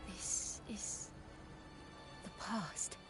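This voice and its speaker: A woman's voice: extremely slow, over music. An actress playing a resolute, wounded young woman in a video game. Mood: hushed, haunted.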